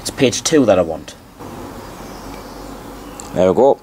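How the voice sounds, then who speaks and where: A man with a lowish voice presents informally, reading printed text aloud. He is deliberate and repeats phrases to stress them. The recording is homemade, with some light noise behind him. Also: animated, a little mellow. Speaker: amateur video presenter. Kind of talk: informal show-and-tell narration, reading aloud from newspapers.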